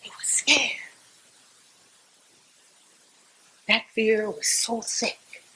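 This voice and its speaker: A woman playing a devout, resolute Southern civil rights activist, telling her story to church in a theatrical, folksy monologue with dramatic pauses and repetition. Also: on the high side, steady tone, sharp tone, in a whisper, rough recording. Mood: tense, fearful.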